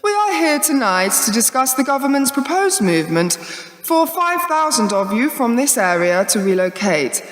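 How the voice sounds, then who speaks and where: An actress playing a poised, persuasive corporate woman giving a deliberate public address in a radio drama. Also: a little high, very animated. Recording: echoey hall, long reverb.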